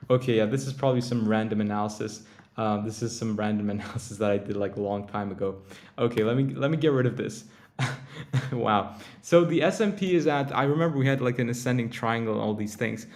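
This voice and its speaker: Young male stream host, tired, chatty, breaks off mid-sentence, average pitch. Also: fairly quick, darkish tone. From Turkey. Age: young adult. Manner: rambling, self-interrupting, chatty with viewers. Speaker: crypto livestream host. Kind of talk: casual livestream chat.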